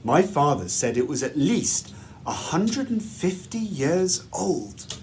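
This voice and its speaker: Very animated man reading a bedtime story. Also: fairly high pitch, slight background noise. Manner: measured, deliberate, storytelling delivery. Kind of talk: expressive children's storybook narration.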